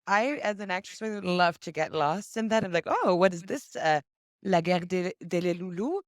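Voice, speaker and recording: Low gravelly woman guest. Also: animated, normal speed, dry recording, studio quality. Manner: chatty, acts out scenarios as she explains. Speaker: guest demonstrating a casting database. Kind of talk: casual explanatory chat.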